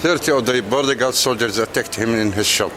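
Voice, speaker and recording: A man's voice: medium pitch, brisk, rough recording. A man with an Arabic accent, a tour guide who speaks Arabic natively, walking visitors through a busy outdoor market and insistently pointing things out. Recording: loud street crowd behind.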